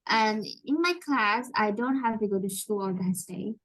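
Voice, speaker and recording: A young woman with a Vietnamese accent, an English learner speaking in halting sentences and searching for words. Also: medium pitch, fairly bright tone, poor audio. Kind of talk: online English lesson conversation.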